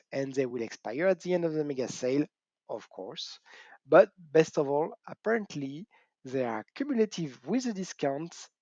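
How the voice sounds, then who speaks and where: A man's voice: a little high, mellow, no room sound. French-accented middle-aged man, dull cheap mic. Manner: chatty and self-mocking, laughs often, whoops. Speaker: gaming channel host. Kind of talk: casual talk to camera.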